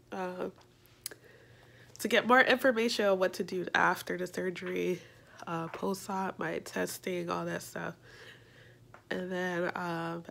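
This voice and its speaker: Young woman, slow rambling talk, gravelly, a bit congested, phone held at arm's length. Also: mid-range voice, a little hiss or hum. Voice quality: a little congested. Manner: chatty, rambling, self-mocking. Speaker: vlogger. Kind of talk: casual selfie vlog. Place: small room indoors. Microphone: phone camera at arm's length.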